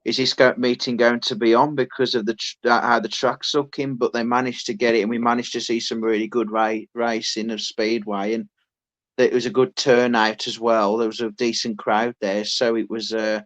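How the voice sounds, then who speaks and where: Flat-voiced rambling man. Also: medium pitch. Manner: rambling, many restarts, unhurried. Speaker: podcast co-host. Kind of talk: casual chat.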